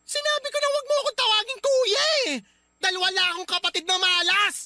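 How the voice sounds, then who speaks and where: A man playing an angry, cruel landlord who bullies a child in a radio drama, loud, scornful and accusing. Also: very high-pitched, fairly even intonation, fast, no echo, full character voice. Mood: furious, menacing.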